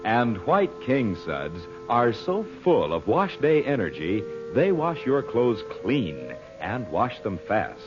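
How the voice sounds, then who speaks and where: Very animated vintage male radio announcer. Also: mid-range voice, slow pace, hardly any pauses. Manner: emphatic, salesmanlike. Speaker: radio announcer and sponsor pitchman. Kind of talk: 1940s radio advertising read. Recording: muffled old radio recording with music.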